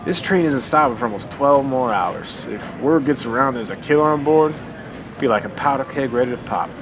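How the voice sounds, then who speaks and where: Man playing cautious train-bound amateur detective, folksy, measured, average male pitch, audio drama, clear rumble behind. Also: lightly acted. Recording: clear vehicle-like background rumble.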